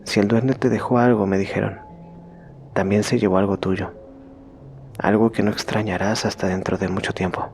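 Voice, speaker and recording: A man reading out a horror story in slow, hushed scripted narration that sometimes sinks to a whisper. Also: fairly low pitch, little pitch movement, faint music behind.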